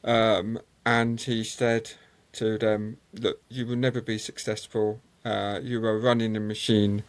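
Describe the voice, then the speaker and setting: A man, a guest on a motorsport show, telling long anecdotes with many ums and pauses. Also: fairly low pitch, little pitch movement, clear, resonant tone. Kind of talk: conversational interview storytelling.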